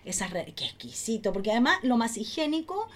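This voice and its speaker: Chilean female co-host, highish voice, very fast, effusive casual chatter full of repetition. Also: big pitch swings. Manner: effusive, repeats phrases. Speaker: podcast co-host. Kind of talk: casual chat.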